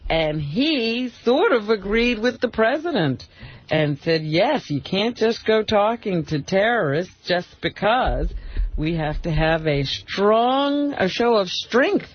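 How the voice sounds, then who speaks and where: Man, high pitch, radio news reader, laughs mid-sentence, muffled audio. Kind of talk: reading news with laughing interruptions. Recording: dull radio broadcast audio.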